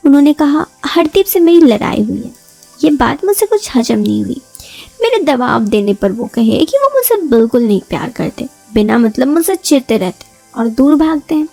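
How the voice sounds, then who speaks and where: A woman's voice: high voice, sing-song, moderate pace, gravelly, darkish tone, no echo, faint music behind. A woman narrating a first-person story in a confiding, conversational way, acting out bits of dialogue.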